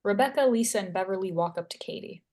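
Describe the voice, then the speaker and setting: A woman's voice: medium pitch, fast talker, a little mellow. A woman reading stage directions as scripted narration.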